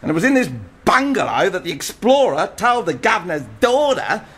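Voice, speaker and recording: A man's voice: high-pitched, sing-song, moderate pace, fairly bright tone, breathy edge, full character voice. An actor with an Australian accent playing a brash, profane bohemian man telling a swaggering yarn in a radio play. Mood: ranting yarn. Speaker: bohemian blues musician and storyteller.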